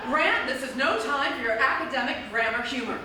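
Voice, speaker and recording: A woman's voice: high voice, normal speed, lightly acted. A woman playing a blunt, impatient small-town official with exasperated delivery in a live comic radio play. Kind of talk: live radio theatre comedy acting. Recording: echoey theatre, distant mic, faint noise.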